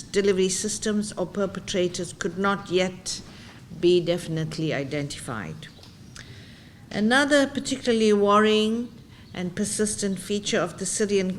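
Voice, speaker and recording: A woman delivering a formal prepared speech at a conference in a measured way. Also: medium pitch, slight background noise. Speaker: speaker at a conference. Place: conference room.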